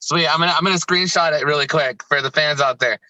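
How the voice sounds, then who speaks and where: A man's voice: fairly high pitch, fast, sharp tone, no room sound, poor audio. A man hosting a music interview in casual, slangy chat, enthusiastic and often repeating himself. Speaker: music show host interviewing.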